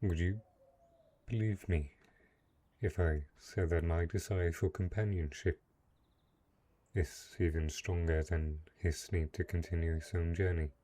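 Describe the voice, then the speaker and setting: Brooding dark knight, played by a male actor: deep, mellow, measured, lonely and possessive, for fantasy roleplay audio. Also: steady tone, breathy edge. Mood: soft, coaxing. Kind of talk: dramatic roleplay audio monologue.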